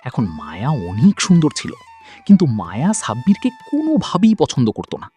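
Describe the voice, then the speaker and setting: Cartoon wealthy village gentleman, male actor, colloquial storyteller, hugely animated delivery, faint music underneath. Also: mid-range voice, moderate pace. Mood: amused storytelling. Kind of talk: cartoon dialogue, telling an anecdote.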